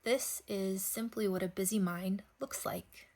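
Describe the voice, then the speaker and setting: A woman leading a guided sleep meditation, speaking gently in unhurried phrases with long pauses. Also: medium pitch.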